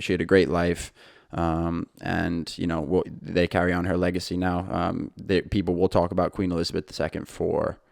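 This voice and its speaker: A man's voice: sits low, darkish tone, clean tone, no room sound, studio quality. A young man hosting his own podcast, talking casually and rambling a little, with frequent fillers and restarts. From England. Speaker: podcast host. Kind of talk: casual solo podcast talk.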